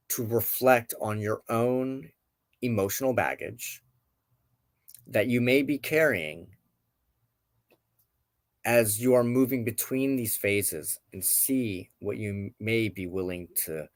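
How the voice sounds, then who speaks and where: A man with a fairly low voice reads tarot cards as the host of a spiritual show. He takes his time, very measured, setting out short deliberate phrases with pauses between them. The sound is dry and close, with little room around it. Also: dry recording. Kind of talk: tarot card reading, reflective spoken commentary.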